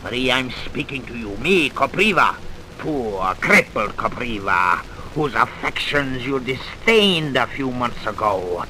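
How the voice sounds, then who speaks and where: An actor playing a bitter, vengeful crippled chemist in an old radio drama, theatrical and taunting. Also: male voice, mid-range voice, sing-song, a little slow, pushed and bright, exaggerated voice. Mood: gloating, cruel. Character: bitter, vengeful crippled male research chemist, gloating villain. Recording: noisy vintage radio recording.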